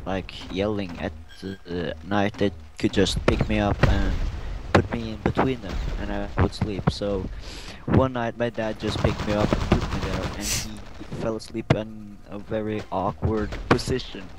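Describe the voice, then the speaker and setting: A young man telling stories in a casual, halting, giggly way with lots of informal slang. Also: on the low side, steady tone. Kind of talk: casual chat, storytelling. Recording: very noisy amateur mic, clicks. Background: loud game gunfire.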